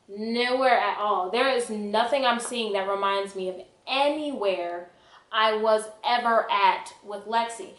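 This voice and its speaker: A woman's voice: mid-range voice, a bit bright. Echoey young woman telling a dramatic story. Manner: self-mocking, dramatic, emphatic. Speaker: vlogger telling a personal story. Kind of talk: animated casual storytelling to camera. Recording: echoey, roomy sound.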